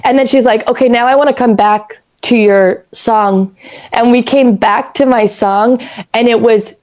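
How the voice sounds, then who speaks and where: A raspy-voiced woman in her thirties from Canada, co-hosting a podcast in rambling, casual chat that drifts into spiritual talk and easy swearing. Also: fairly high pitch, steady tone, unhurried, a little mellow. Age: 30s. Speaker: podcast co-host.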